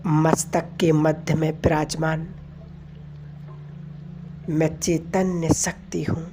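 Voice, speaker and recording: A woman leading a devotional guided meditation in a soothing, measured manner, pausing between short phrases. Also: deep voice, level tone, background noise. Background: music.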